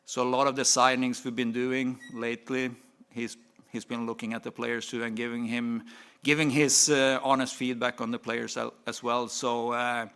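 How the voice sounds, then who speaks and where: A man making a steady statement at a press conference about hiring a new coach, pausing with fillers. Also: mid-range voice, clean tone.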